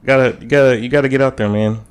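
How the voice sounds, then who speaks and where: A man's voice: mid-range voice, steady tone, fast talker, a crowd in the background. A man co-hosting a podcast, talking in a loose, casual, conversational way. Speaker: podcast co-host. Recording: noticeable room noise.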